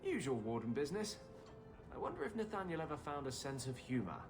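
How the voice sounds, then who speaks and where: Sardonic renegade mage for fantasy game, wry and sarcastic, animated delivery, music underneath. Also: male voice, fairly high pitch, fairly quick. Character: sardonic runaway male mage, former Grey Warden. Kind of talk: fantasy game cutscene acting. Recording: music behind the voice.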